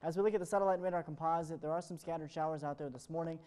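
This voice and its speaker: A man's voice: fairly high pitch, fairly even intonation, rapid-fire, dark tone. An American man presenting an ad-libbed television weather forecast in an upbeat, chatty way.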